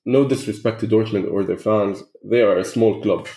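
A man on a casual football podcast, joking and excitable in banter. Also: fairly low pitch, moderate pace. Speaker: podcast co-host. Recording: echoey room.

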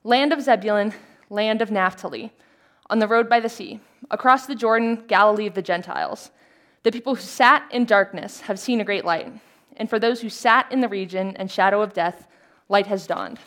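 A woman reading scripture aloud in a calm, measured way. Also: medium pitch. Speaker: scripture reader.

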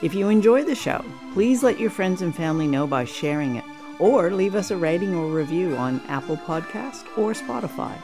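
A woman's voice: fairly low pitch, expressive, normal speed, music in the background. A woman hosting a podcast, talking casually with a guest as the interviewer.

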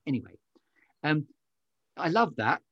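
A man's voice: on the high side, big pitch swings, a little slow, breathy edge, no echo, muffled recording. A man hosting a conversational interview, effusive and appreciative in the way he responds. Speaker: podcast host.

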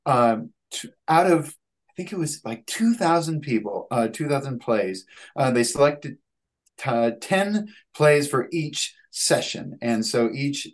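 Halting man in his thirties, medium pitch. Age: 30s. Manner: halting, hesitant phrasing with repeated words. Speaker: guest, playwright and actor. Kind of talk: conversational interview answers.

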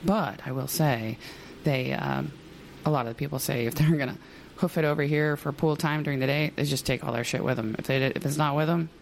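A woman co-hosting a podcast in casual chat, hoarse and chatty, laughing often. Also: deep voice, a little fast, slight background noise. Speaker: podcast co-host.

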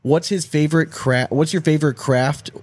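A man's voice: on the high side, fairly even intonation, moderate pace, darkish tone. A man hosting a podcast, chatting casually about sports with plenty of banter, slang and swearing. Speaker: podcast host.

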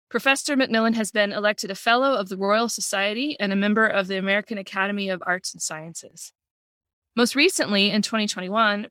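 A woman's voice: medium pitch, fairly bright tone, no room sound. A woman hosting a podcast, reading an upbeat introduction and asking questions. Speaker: podcast host.

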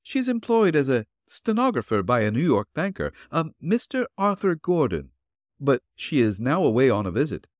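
A man voicing an elderly, refined and gentle landlady in a raised, polite voice. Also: very animated, moderate pace, no echo, studio quality, lightly acted. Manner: polite, flustered, high put-on voice. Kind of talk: audiobook character reading.

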